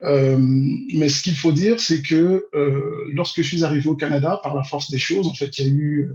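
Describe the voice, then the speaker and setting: High-voiced man in an echoey room. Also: steady tone, a little mellow. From Congo-Brazzaville. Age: 40s. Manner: hesitant, measured, with long drawn-out pauses. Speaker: interview guest, immigration consultant and teacher. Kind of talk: conversational interview answers. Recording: dull, roomy, echoing sound.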